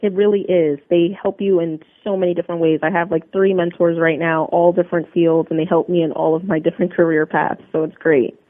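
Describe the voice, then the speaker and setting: A woman's voice: lowish voice, flat delivery, a little fast, hardly any pauses, no echo. Young woman on a tinny phone line. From New Jersey, USA. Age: young adult. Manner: upbeat, earnest and polished. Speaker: interview guest, Army Reserve drill sergeant. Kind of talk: radio interview answers. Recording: narrow phone-line sound, dry.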